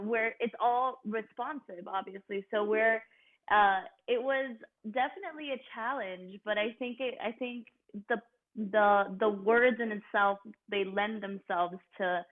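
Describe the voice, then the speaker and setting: A woman's voice: mid-range voice, phone-quality sound. A woman, an actress, chatting casually on a panel and searching for her words, heard over thin call audio.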